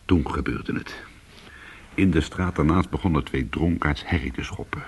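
An actor playing a weary, hard-boiled former cat burglar, telling his story in an intimate, brooding and deliberate way in a radio play. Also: extremely low pitch, husky, exaggerated voice. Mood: hushed, suspenseful.

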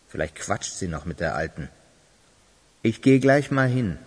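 An actor playing a scheming, insistent suitor who talks his way smoothly along in a radio crime drama. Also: low voice, big pitch swings, measured pace, a little mellow, exaggerated voice. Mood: tense, commanding. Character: smooth-talking, scheming adult male suitor hiding urgency.

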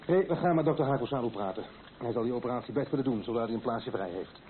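A man's voice: medium pitch, fast talker, lightly acted. An actor playing a stubborn, teasing, affectionate husband who has lost his legs in a naturalistic radio play. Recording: noisy old radio play, tinny narrow band.

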